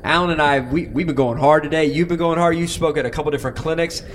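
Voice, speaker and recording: Jokey male co-host, somewhat high voice. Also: fast, a little hiss or hum. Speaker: podcast co-host. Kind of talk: casual podcast interview.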